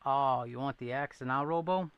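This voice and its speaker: A man hosting a casual chat stream, with a light but clear Boston accent from his New England upbringing. His voice is gravelly, with fry at the ends of his phrases, and his pitch sits at an ordinary middle. He rambles, jokes at his own expense and keeps answering viewers. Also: moderate pace, no echo, highs cut off. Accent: thin Boston accent. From New England, near Rhode Island. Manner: rambling, self-deprecating, reacts to chat. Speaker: livestream host.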